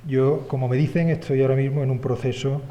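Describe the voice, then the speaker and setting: Spanish guy lecturing at a conference, warm mellow voice, lots of eh pauses, faint hiss behind. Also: mid-range voice, little pitch movement. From Spain. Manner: measured, with frequent "eh" pauses and tag questions. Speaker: historian giving a conference talk. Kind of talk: academic lecture. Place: university conference hall.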